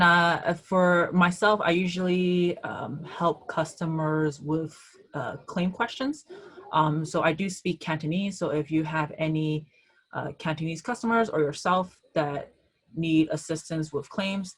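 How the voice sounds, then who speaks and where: Low-voiced woman answering insurance questions. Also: measured pace. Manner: hesitant, many ums and uhs. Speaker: insurance agent. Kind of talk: answering questions in an online webinar. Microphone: video call.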